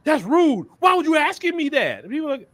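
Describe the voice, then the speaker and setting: A man's voice: extremely high pitch, sing-song, fairly quick, a bit bright, no echo. A man with a Southern Texas accent giving an emphatic, colloquial opinion monologue, often repeating phrases and acting out mock dialogue. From Port Arthur, Texas. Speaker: commentator.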